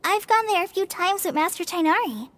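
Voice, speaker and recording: A woman voicing a shy, earnest young woman ranger in a video game, speaking gently and encouragingly. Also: very high-pitched, expressive, fairly quick.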